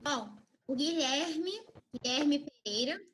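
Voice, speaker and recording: A woman's voice: high-pitched, animated, slow, a bit bright, slight background noise. A woman hosts a panel. She moderates politely, reading questions aloud and passing the floor to guests. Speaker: host reading audience questions.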